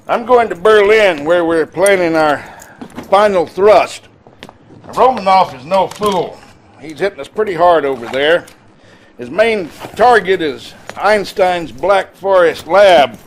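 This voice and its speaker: An actor playing a gruff, folksy American army general, stern but hearty, in a video game cutscene. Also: male voice, a little high, lively intonation, a little slow, slight background noise.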